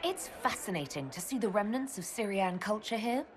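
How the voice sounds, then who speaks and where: A woman's voice: medium pitch, animated, brisk, a faint crowd, lightly acted. An actress playing a curious, dryly witty woman leader who talks in a chatty, lightly teasing way.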